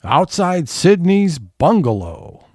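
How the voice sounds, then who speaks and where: Guy as narrator for radio comedy, lively pitch, unhurried, setting the scene. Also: a little hiss or hum. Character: storytelling narrator of a comedy audio play. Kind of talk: scripted narration.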